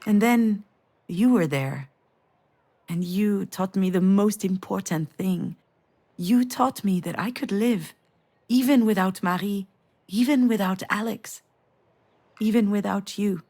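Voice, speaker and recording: A woman's voice: mid-range voice, takes it slowly, pauses often, dark tone. An actress playing a wistful, wounded woman parting from her ex, speaking in a reflective, intimate way in a naturalistic game performance. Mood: reflective, solemn. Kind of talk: naturalistic visual novel voice acting.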